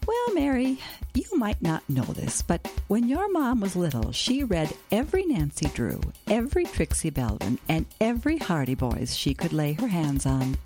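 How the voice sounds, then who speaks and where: An older woman playing a fond, wry and chatty small-town grandmother in an expressive radio drama style. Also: fairly low pitch, normal speed, hardly any pauses, music in the background. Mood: knowing, sly.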